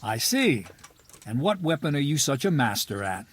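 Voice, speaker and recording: A man's voice: medium pitch, very animated, moderate pace, husky, no echo. A man playing a stern, demanding medieval swordmaster in a video game, giving blunt, commanding, instructive lines to a pupil. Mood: skeptical, challenging. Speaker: combat trainer.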